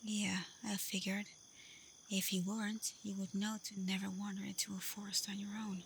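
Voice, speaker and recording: A woman playing a confident, teasing, protective werewolf alpha in an ASMR roleplay, speaking softly and breathily, often half-whispering, in a calm, unhurried way. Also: mid-range voice, creaky, a little hiss or hum, lightly acted. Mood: frank, sympathetic. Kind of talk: ASMR roleplay, soft intimate acting to the listener. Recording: night insects and forest ambience behind. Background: crickets, night forest ambience.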